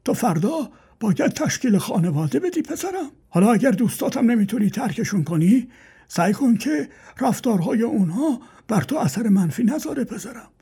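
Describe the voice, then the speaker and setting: A man's voice: very high-pitched, very animated, warm tone, breathy edge, pitched above the performer's own voice, exaggerated voice. A man voicing a frail old father who sighs and nags his son, in a thin, strained voice. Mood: pleading advice. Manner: sighing, preachy, quavering.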